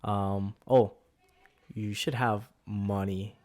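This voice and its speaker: A man's voice: on the low side, steady tone, drawn-out words, a little mellow, a little hiss or hum. A man in his twenties, co-hosting a podcast in a laid-back, rambling way, often laughing. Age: 20s. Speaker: podcast co-host. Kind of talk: casual chat.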